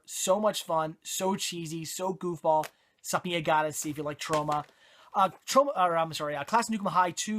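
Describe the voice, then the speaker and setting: A movie reviewer whose voice is going hoarse, chatting casually and correcting themself with lots of ums and restarts. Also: medium pitch, a bit bright. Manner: rambling, self-correcting, many fillers. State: hoarse, voice giving out.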